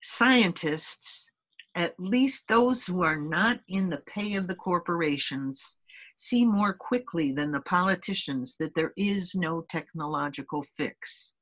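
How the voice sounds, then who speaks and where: Female host, gentle and unhurried, guides a meditation, slightly low voice. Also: expressive. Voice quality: soft, gentle, deliberate delivery. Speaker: host leading a group conversation. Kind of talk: calm guided meditation introduction and reading.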